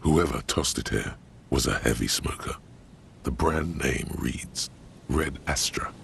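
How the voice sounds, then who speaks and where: An actor voicing a grave, world-weary inner voice that narrates a detective's thoughts in slow, literary, ominous phrases. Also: male voice, a bit bright, a little hiss or hum, full character voice. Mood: dry, grave. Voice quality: deep, gravelly. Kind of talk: scripted dramatic game narration.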